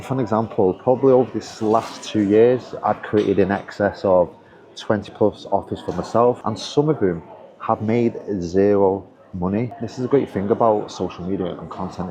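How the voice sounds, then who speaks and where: A man's voice: low voice, lo-fi sound. A man who works as an online business coach, talking casually and earnestly to camera with encouragement and frequent restarts, over crowd chatter. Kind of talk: casual motivational talk to camera.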